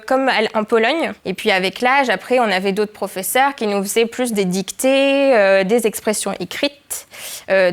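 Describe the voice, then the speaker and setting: A woman from France with Polish as her native language, reminiscing in an informal interview, often chuckling between hesitant phrases. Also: medium pitch. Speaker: association member.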